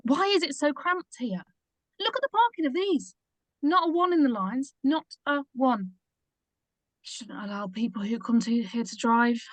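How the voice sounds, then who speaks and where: A woman performs an uncomfortable mother of about sixty who nags and fusses. Her voice sits on the high side, with a very dry sound. The delivery is that of a drama script read at the table. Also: expressive, moderate pace, dry recording. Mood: fussy, disapproving. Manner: fussy, chiding.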